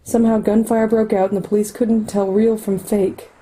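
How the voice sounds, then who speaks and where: An actress in a radio play as an anxious, grieving lover, soft and husky, hesitant and sorrowful. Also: female voice, mid-range voice, level tone, moderate pace, slight background noise, close to an everyday voice, low-quality recording. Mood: grieving, firm. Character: anxious, grieving woman in love with a programmer.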